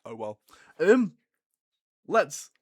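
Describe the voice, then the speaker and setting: A man's voice: a little high, big pitch swings, very slow, husky. A young man with a Scouse-tinged Northern English accent, co-hosting a casual football podcast in a laid-back, agreeable way and laughing often. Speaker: podcast co-host.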